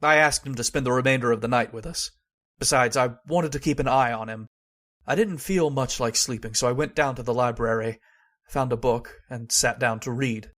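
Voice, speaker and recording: A man's voice: medium pitch, moderate pace, a bit bright, lightly acted. A man playing a skeptical but haunted narrator in an old-time radio horror drama.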